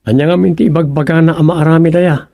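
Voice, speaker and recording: Guy playing a husky ilocano village man, worried and fed up, higher pitched, mellow, radio drama. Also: fairly even intonation, fast talker, no room sound. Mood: questioning.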